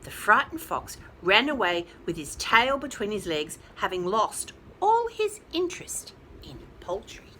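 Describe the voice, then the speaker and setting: A woman's voice: medium pitch, very animated, unhurried, few pauses, pushed and bright, slight background noise. A woman reading a picture book expressively to young children and explaining things simply. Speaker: children's storyteller.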